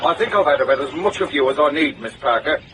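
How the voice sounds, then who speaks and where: An actor playing a sleazy middle-aged businessman in a radio drama, condescending and threatening, quick to bluster. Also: fairly high pitch, expressive, noisy background, lightly acted. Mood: blustering, indignant.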